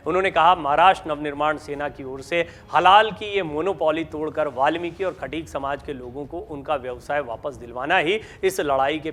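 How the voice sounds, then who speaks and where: A man with a slightly high voice presents news commentary. He explains step by step in the manner of an analyst, putting questions to the listener and then answering them. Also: a bit bright.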